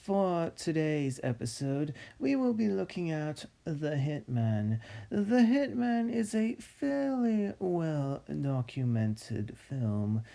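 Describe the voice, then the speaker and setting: Stuffy, snobbish male narrator played by a man for comedy web series: pompous, droning, unhurried, mellow tone. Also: a little high, big pitch swings, creaky, heavily acted. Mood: pompous, dry. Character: snobbish, self-deprecating stuffy narrator of cancelled film scripts. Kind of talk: scripted narration.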